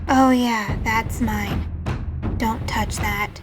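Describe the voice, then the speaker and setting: An eerie little mummy girl played by a woman in an audio drama. The voice is fairly high and very unhurried, quiet and deadpan, as if nothing could stir this undead child. Music plays behind it. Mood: flatly possessive.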